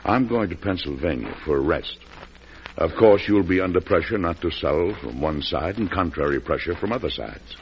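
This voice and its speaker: An actor playing a weary, suspicious American president, blunt and deliberate, in an old-time radio drama. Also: male voice, deep voice, expressive. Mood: calm, shrewd. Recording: dull, noisy old radio broadcast.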